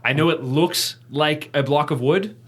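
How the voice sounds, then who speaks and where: A man voicing a bumbling male hospital doctor who talks hesitantly in a comedy podcast sketch. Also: on the high side. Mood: deadpan. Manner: hesitant, halting, many fillers.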